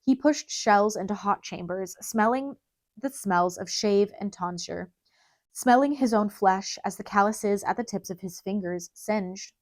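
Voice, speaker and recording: A woman narrates an audiobook in a dramatic, scripted storytelling style. Her voice sits at a middle pitch for a woman, and the recording is close and dry, with very little room sound. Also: a little slow, no room sound.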